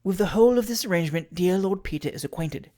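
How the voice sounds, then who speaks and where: Narrator playing storybook lady, forty: cool, self-possessed schemer, quiet, measured, dry and imperious. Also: mid-range voice, lively intonation, lightly acted. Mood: scheming.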